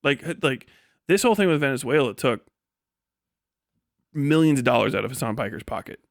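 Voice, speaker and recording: A man giving casual, rambling live commentary as a stream host, slangy and animated, acting out imagined exchanges. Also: medium pitch, fairly quick, gravelly, dry recording, clean studio sound. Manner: often chuckles mid-sentence. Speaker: livestream host and political commentator.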